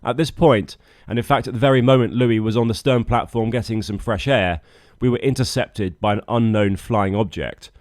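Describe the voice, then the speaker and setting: A man with a fairly low voice narrates a travel vlog. His delivery is scripted and wry, with dry understatement. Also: normal speed, hardly any pauses, darkish tone, no echo. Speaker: video narrator, boat skipper.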